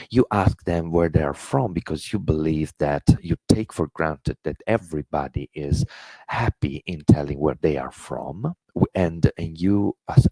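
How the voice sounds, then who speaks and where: A man's voice: a bass voice, gravelly, warm tone, no echo. A middle-aged man from Italy with an Italian accent, giving an earnest webinar lecture with many fillers and restarts. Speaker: intercultural education presenter.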